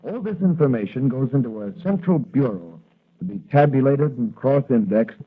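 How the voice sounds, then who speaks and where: A man's voice: medium pitch, lively intonation, moderate pace, husky. An actor playing a confident young patrolman in a 1940s radio adventure, explaining things in a matter-of-fact way. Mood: matter-of-fact, earnest. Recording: muffled, band-limited vintage radio recording.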